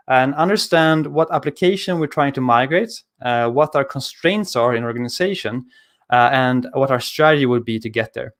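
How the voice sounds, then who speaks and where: A man, presenting a conference talk over a video call in a relaxed, conversational way with many filler sounds. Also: mid-range voice. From Sweden. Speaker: conference speaker. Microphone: studio mic on arm, video call.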